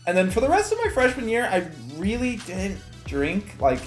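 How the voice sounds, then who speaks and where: Young adult male storyteller, Californian accent, animated vlog chat, very roomy sound over light guitar music. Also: high voice, moderate pace. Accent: Californian American. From California, United States. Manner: animated, slangy, self-mocking. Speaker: YouTuber telling stories. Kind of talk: casual storytime chat to viewers. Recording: echoey room with music bed. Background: background music with guitar.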